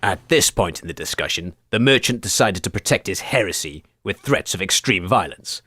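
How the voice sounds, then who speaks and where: A man's voice: deep voice, lively intonation, normal speed, a bit bright, husky, dry recording, studio quality. A man hosting a comedy radio show with a bombastic, theatrical manner. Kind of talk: comic radio sketch acting and hosting.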